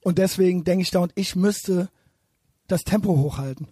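Brash podcast host, male, high and husky, dry close sound. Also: mellow, no room sound. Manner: fast, cocky, mixes English phrases. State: hoarse. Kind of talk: casual podcast banter.

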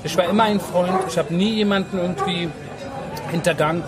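High-voiced man, emphatic street talk. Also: a little fast. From Berlin, Germany. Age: 40s. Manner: emphatic, slangy, repeats phrases for stress. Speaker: interview guest. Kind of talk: casual personal storytelling. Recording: music and crowd noise behind.

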